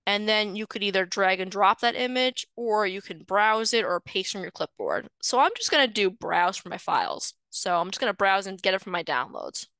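A woman genealogist walks listeners through an online lecture in a friendly, explanatory way, often pausing on an um or uh. Her voice is bright and clean. The sound is dry and close to the mic. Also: mid-range voice, big pitch swings, clean tone, no echo. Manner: explanatory, friendly, with frequent um and uh fillers. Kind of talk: screen-share webinar lecture. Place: indoors, voice over shared slides.

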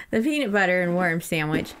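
A woman's voice: lowish voice, fairly bright tone. An American woman co-hosting a podcast, talking casually with an irreverent, unfiltered manner. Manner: irreverent, freely swearing. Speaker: podcast co-host. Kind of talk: casual chat and book recap.

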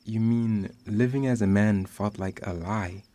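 Man playing a calm young South African teacher. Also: on the low side, measured pace, background noise, lightly acted. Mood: concerned. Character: calm, supportive young male schoolteacher and loyal friend. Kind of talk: radio drama acting.

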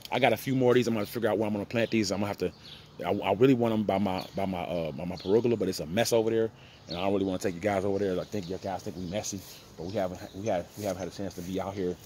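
A man's voice: on the low side, darkish tone. An American man chatting casually and thinking aloud in a folksy, repetitive way as he vlogs his gardening. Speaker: home gardener vlogger. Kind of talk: casual rambling outdoor vlog chat. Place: outdoors in a backyard garden. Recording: noisy outdoor phone audio, uneven distance. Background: birds and outdoor ambience.

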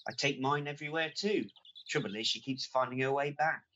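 A woman's voice: a bass voice, a little fast, fairly bright tone, lo-fi sound, slight background noise. A woman playing a cheery village bailiff with chummy comic patter in a radio pantomime. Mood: jovial. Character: cheery joking village bailiff and Morris man.

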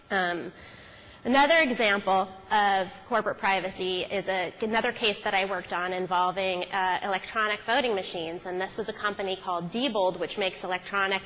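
A woman delivers a conference keynote in a conversational storytelling style, her pitch sitting in the middle range. She often halts and corrects herself as she goes. Her voice rings with long reverb through a big auditorium. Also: sharp tone. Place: large auditorium stage, at a podium. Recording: hall reverb from stage sound system.

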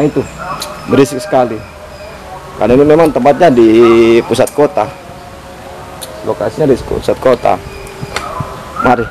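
A man's voice: mid-range voice, rough recording. A young man from Papua, Indonesia, narrating a casual birding vlog outdoors under a fruit tree, talking with enthusiasm into a handheld camera mic. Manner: enthusiastic, exclaims at what he finds. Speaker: birding vlogger. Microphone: camera mic, handheld selfie framing. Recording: background music under the voice.

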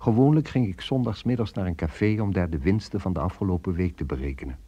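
Radio drama lead: brooding, weary businessman-narrator, reflective and measured, deep pitch, mellow tone. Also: slightly rough, dull audio. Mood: brooding, bitter.

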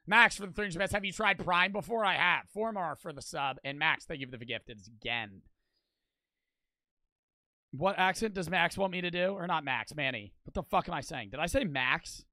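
A man's voice: a little high, lively intonation, moderate pace, sharp tone, no room sound. A man with a New Jersey accent, chatting bluntly and crudely while reacting to a video on a live stream. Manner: blunt, crude, self-mocking. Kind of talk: casual live-stream chat.

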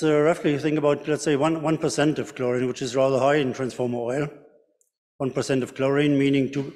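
A middle-aged man answering technical questions at a conference, explaining piece by piece with hesitant, deliberate phrasing. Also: medium pitch, darkish tone. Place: large ornate hall, indoors. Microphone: podium microphone. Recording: reverberant conference hall.

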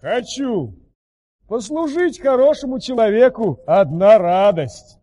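Casting: easygoing storybook wonder-worker, high voice, unhurried deliberate delivery, long reverb. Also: male voice, big pitch swings, warm tone, husky, exaggerated voice. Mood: glad. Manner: measured, deliberate. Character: easygoing, unhurried fairy-tale helper with marvelous skills. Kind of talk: theatrical audio-play acting.

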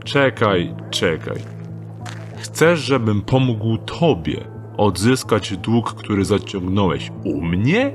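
A calculating rogue, voiced by a man, in an audio drama, speaking in a low, unhurried tone. He weighs every offer with dry skepticism. Music runs clearly beneath him. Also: sing-song. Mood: wary. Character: wary young male rogue Dieter, dry and calculating.

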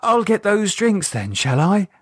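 A man's voice: medium pitch, big pitch swings, a little slow, husky, no echo, clean studio sound. A man voicing a nervous working-class woman who puts on a cheery front, in an audiobook. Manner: flustered, forced cheerfulness. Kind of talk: audiobook character voice.

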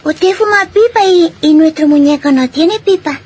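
A woman's voice: very high-pitched, normal speed, a little mellow. A woman playing a polite, eager young boy in an old radio drama. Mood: polite, pleading.

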